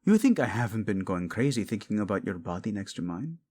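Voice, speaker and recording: A man's voice: fairly low pitch, big pitch swings, brisk, a little mellow. A man playing a devoted, protective prince wracked with guilt, pleading tenderly and intensely in a romantic audio roleplay, often sinking toward a whisper. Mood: tender, restrained.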